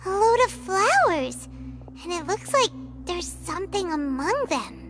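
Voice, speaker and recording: A tiny, squeaky, excitable game companion. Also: female voice, measured pace, full character voice. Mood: excited. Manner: excitable, exclamatory. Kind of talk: video game dialogue. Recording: game audio with loud music.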